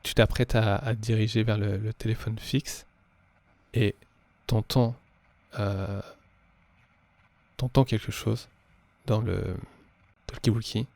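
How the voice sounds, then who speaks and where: Creaky-voiced man as game master. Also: lowish voice, steady tone, slow, pauses often, darkish tone, no echo. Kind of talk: improvised narration of a tabletop horror game.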